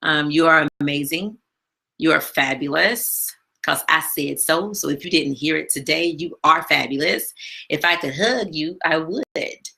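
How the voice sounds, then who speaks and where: A woman hosting a live stream from home, chatting casually with lots of fillers and a big, loud voice. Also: deep voice, measured pace, gravelly. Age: adult with a daughter. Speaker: live stream show host. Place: home, not her usual studio.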